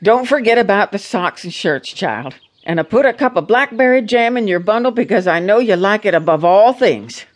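A woman's voice: medium pitch, lively intonation, normal speed, hardly any pauses, lightly acted. Actress as a homespun, earnest rural American farm mother. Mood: anxious, tender. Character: plain-spoken, devout, anxious farm mother of a young soldier. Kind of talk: radio drama acting.

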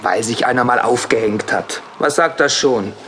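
A man's voice: mid-range voice, normal speed, bright, forceful tone, a little hiss or hum, close to an everyday voice. An actor playing an impatient, skeptical young man in a German horror audio drama, brusque and urgent. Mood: impatient, dismissive.

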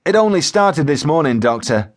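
A man voicing a distressed, bluntly coarse male patient in a comic audiobook, groaning and pleading loudly. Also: fairly high pitch, big pitch swings, moderate pace, voice pushed up. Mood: blunt, uncomfortable. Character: distressed, embarrassingly frank working-class patient on the phone.

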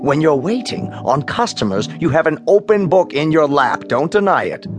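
A man playing a pompous, stern bank president in a radio drama, speaking in precise, emphatic, drawn-out phrases. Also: fairly high pitch, very animated, faint music behind. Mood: exasperated, pompous.

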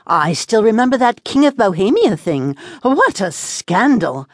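A woman's voice: medium pitch, sing-song, measured pace, no room sound, clean studio sound. A woman playing a chatty, meddling older British housekeeper, gossipy and insinuating, in a comic radio drama. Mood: gossipy delight. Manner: gossipy, insinuating, tacks "hmm?" onto remarks.

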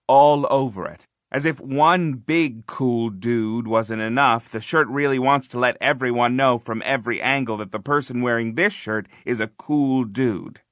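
Bright-voiced man narrating a children's audiobook. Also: medium pitch, no room sound, clean studio sound. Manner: conversational, confiding, emphatic. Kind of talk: expressive first-person scripted narration of a children's novel.

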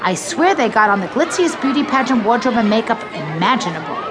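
A woman's voice: fairly high pitch, big pitch swings, a little fast, over music, a crowd in the background. An actress playing a pushy, gushing pageant mother who talks to her young daughter with breathless, exaggerated emphasis in an audio drama. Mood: excited, encouraging.

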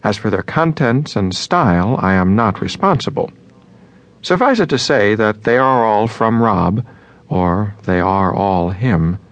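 An actor playing a moody, romantic man voicing his letters in an audio drama, measured and earnest, with a gravelly, husky voice. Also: fairly low pitch, lively intonation, highs cut off. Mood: formal, composed.

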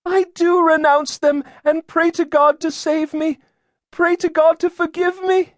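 Guy doing a scared puritan teen girl, way high voice, entranced testimony, audiobook. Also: little pitch movement, unhurried, dry recording, studio quality. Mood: tearful, pleading. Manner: trance-like, fearful declaiming. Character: frightened sixteen-year-old Puritan girl, entranced witness.

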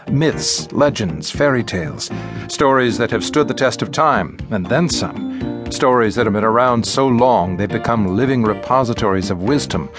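Male American radio presenter, scripted narration, medium pitch, music underneath. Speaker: radio show host. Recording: music bed behind the voice. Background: instrumental music.

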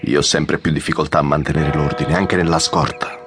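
An actor playing a loyal, war-worn colonel, measured, sombre and respectful, in an audio drama. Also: male voice, a bass voice, flat delivery, over music. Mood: grave, disillusioned. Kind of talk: Italian audio drama acting.

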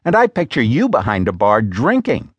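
A man voicing a sharp-tongued, exasperated woman who bosses her business partner, talking in a sarcastic, scolding way. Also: medium pitch, wildly swooping pitch, moderate pace, breathy edge, no echo.

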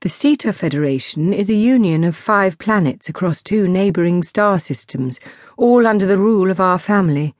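A woman's voice: on the low side, expressive, normal speed, a little mellow, no echo, studio quality, lightly acted. A woman voicing a lonely, dutiful teenage princess in a storybook, explaining things politely and earnestly.

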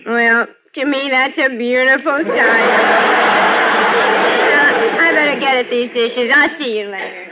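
A slow, dopey housemaid. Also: female voice, high voice, over a noisy crowd. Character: scatterbrained young housemaid, slow and dim. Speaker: maid. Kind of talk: 1940s radio sitcom acting. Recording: hissy lo-fi old radio recording.

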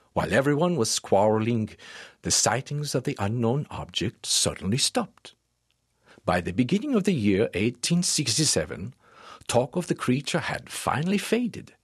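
An actor playing a French professor of natural history, narrating a dramatized audiobook in a formal, measured way. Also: male voice, fairly low pitch, breathy edge, dry recording, clean studio sound.

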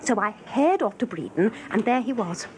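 An actress playing a prim, eager office secretary in a British radio drama, with an English accent and a genteel, gossipy, earnest manner. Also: female voice, fairly high pitch, very animated, moderate pace, a little mellow, slight background noise. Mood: scandalised.

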